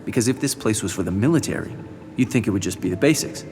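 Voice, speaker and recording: An actor playing a cautious, deferential, inquisitive junior officer in an audio drama. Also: male voice, on the low side, lively intonation, quick pace, darkish tone, close to an everyday voice. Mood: suspicious. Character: cautious, dutiful, questioning male subordinate officer.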